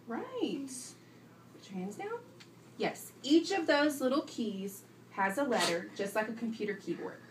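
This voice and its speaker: A woman's voice: on the high side, very animated, measured pace. A woman teacher reading aloud to young children, instructing them and prompting them with questions. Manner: instructive, prompting and repeating pupils' answers. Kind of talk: classroom read-aloud with questions to pupils. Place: indoor classroom, recorded from a distance. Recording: roomy, distant classroom recording with faint noise.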